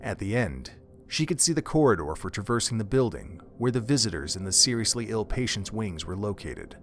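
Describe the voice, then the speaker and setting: A man's voice: lowish voice, sing-song, fairly quick, a little hiss or hum. A man reading scripted narration for an audio drama in a solemn, literary storytelling manner.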